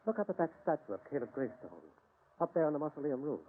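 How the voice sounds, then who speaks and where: An actor playing a confident, soothing criminologist in an old-time radio drama, speaking in an articulate, theatrical and reassuring way. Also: male voice, a little high, very animated, fast, slight background noise. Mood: calm, intrigued. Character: confident, soothing male criminologist investigating eerie mysteries. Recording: muffled vintage radio broadcast.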